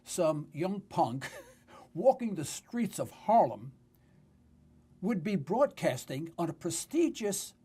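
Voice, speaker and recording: An older man in his sixties with a New York accent speaks as a talk radio host. His voice is rough and husky, swinging widely in pitch as he tells personal stories. He phrases things emphatically and repeats words for weight. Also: mellow. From New York City. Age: 60s. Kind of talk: conversational radio monologue with personal storytelling.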